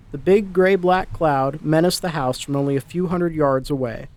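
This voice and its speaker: A man narrating a dramatic short story from a script. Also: fairly high pitch.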